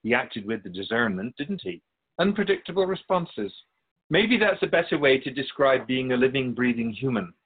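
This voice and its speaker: A man playing a patient, all-knowing keeper of souls in a radio drama, explaining things in a measured, gently wry way. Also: medium pitch, big pitch swings, husky, phone-quality sound. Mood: patient, explanatory. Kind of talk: scripted radio drama dialogue.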